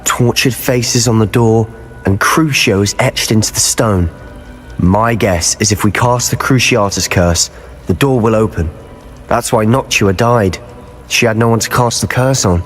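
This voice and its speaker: A man's voice: lowish voice, unhurried, faint music behind, natural voice. An actor playing a bold, bookish young male wizard student in a video game, speaking. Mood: determined. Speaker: game character.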